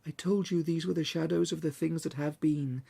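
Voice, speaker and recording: A narrator voicing a calm, unyielding ghost. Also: medium pitch, brisk, creaky, husky, voice pushed up. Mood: stern.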